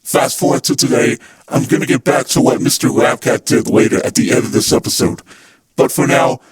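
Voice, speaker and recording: A man's voice: on the high side, low-quality recording. A man hosting a fan podcast, reading scripted narration. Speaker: podcast host.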